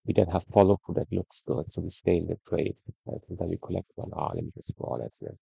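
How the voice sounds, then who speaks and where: Gravelly, deep-voiced man reviewing trading charts. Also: fast talker, no room sound, highs cut off. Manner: relaxed, conversational, frequent "right?" tags. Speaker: trading educator. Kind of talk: screen-share trading review.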